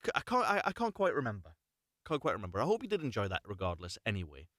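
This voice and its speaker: A man reading a storybook aloud live, expressive, with chatty asides and self-corrections. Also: on the low side, quick pace, noisy background. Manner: stumbles and corrects himself, expressive. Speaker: reader of fairy tales, host.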